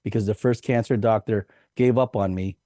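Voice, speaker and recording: A man's voice: lowish voice, fairly even intonation, normal speed, warm tone, dry recording, clean studio sound. A man as a podcast guest, telling a personal story in an earnest, reflective way with light laughs.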